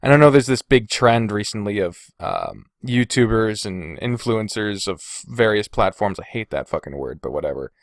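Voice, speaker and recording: Male podcaster, very lively pitch swings, mid-pitched voice, casual rambling, sweary, self-deprecating, dry room sound. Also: normal speed, few pauses, dry recording. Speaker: podcast host and video creator. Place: indoors in a bedroom. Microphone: Blue Snowball omnidirectional USB microphone.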